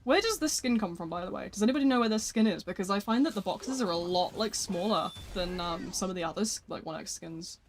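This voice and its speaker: A gaming streamer chatting casually during a live stream, answering viewer messages in a flat speaking voice. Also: mid-range voice, quick pace, hardly any pauses, a little hiss or hum.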